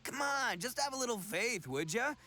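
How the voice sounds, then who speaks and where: A man's voice: very high-pitched, lively intonation, normal speed, crowd noise. A man voicing a brash, goofy young self-proclaimed expert in an anime game, bragging theatrically in slangy speech. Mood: reassuring, confident.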